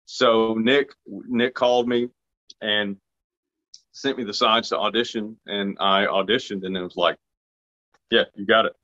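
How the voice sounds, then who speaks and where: A man's voice: fairly low pitch, steady tone, a little slow, pauses often, fairly bright tone. A man from Arkansas, an actor being interviewed, talking casually with frequent restarts and self-deprecating remarks. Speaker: actor guest. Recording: dull lo-fi sound.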